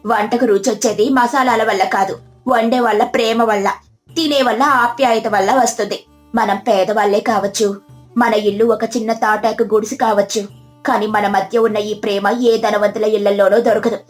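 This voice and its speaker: A woman's voice: a little high, steady tone, moderate pace, faint music behind, lightly acted. A woman voicing a devoted, affectionate poor village wife in a story, tender and humble.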